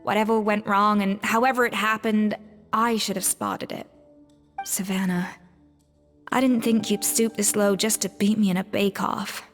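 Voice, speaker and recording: A woman's voice: mid-range voice, flat delivery, moderate pace, big-room sound, soft background music, natural voice. A woman playing a proud, principled young head chef in a video game, speaking in an earnest, firm, formal manner. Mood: resigned, firm.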